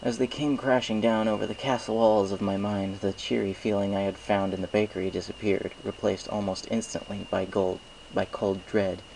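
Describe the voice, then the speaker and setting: Low-voiced man narrating a story. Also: flat delivery, normal speed, vocal fry, darkish tone, a little hiss or hum, rough recording. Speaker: fan fiction reader.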